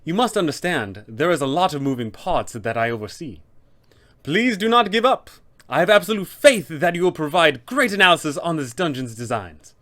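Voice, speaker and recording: A man's voice: a little high, very animated, moderate pace, fairly bright tone, voice pushed up. A man voicing an officious, polite, fussy undead tomb custodian with formal announcer phrasing, as a tabletop villain. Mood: fascinated, fussy.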